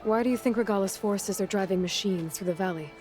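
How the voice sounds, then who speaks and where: An actress playing a determined, wry young outlander in a video game cutscene, with a direct, probing and dry delivery. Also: female voice, mid-range voice, quick pace, darkish tone, faint music behind, close to an everyday voice. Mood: focused.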